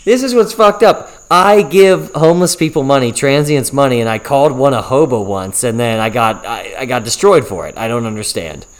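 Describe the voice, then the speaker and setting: A man's voice: mid-range voice, expressive, moderate pace, few pauses. A young man with an American accent, bantering casually as a podcast co-host, with a little noise in the recording.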